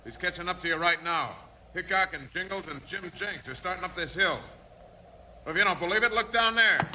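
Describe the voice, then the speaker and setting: A man's voice: high voice, fairly quick, pushed and bright, low-quality recording. An actor playing a worried, pessimistic outlaw henchman in a 1950s radio western, nagging in an American western drawl. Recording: hissy old radio recording.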